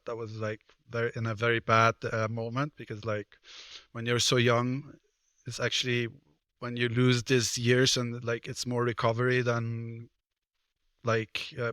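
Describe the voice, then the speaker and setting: Thirties male guest from Switzerland, halting casual talk, bright and monotone in a dry room. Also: medium pitch, dry recording. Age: 30s. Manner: hesitant, many fillers and restarts. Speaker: guest, personal trainer and card game player.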